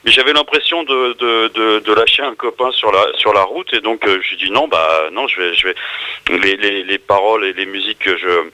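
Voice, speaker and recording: Bright-voiced middle-aged French man, halting delivery. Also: lowish voice, no echo, poor audio. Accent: French from France. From France. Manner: halting, searches for words and repeats phrases. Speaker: singer-songwriter guest. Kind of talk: casual radio interview chat.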